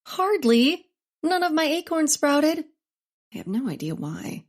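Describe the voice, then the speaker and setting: A woman's voice: sits high, big pitch swings, measured pace, exaggerated voice. A woman playing an intense, sardonic plant scientist in a comedic period audio drama.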